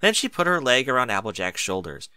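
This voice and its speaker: A man's voice: medium pitch, sing-song, fairly quick, bright, forceful tone, smooth, no echo. A man reading scripted fiction aloud as an audiobook narrator.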